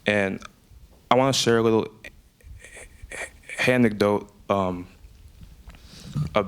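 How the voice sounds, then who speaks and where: A man's voice: fairly low pitch, slow pace, smooth. A young man giving a prepared personal speech, speaking with an audible stutter, frequent repetitions and fillers. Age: young adult. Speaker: student speaker. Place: event speech.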